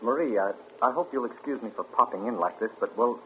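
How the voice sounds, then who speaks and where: A man's voice: medium pitch, quick pace, slight background noise, close to an everyday voice. An actor playing an anxious young man who speaks in hesitant, earnest bursts, in 1940s radio acting style. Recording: muffled 1940s radio broadcast.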